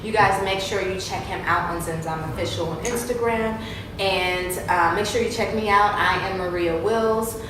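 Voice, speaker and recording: A woman's voice: mid-range voice, moderate pace, very echoey, recorded from a distance, background noise. A woman hosting an interview, upbeat and encouraging with her guest. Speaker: interview host. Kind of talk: casual interview.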